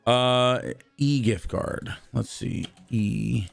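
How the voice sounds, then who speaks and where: Hoarse, husky male streamer. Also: medium pitch, extremely slow, faint music behind. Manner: rambling, loud shouted greetings to viewers. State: hoarse, worn-out voice. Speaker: live streamer. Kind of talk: casual live-stream chat with viewers.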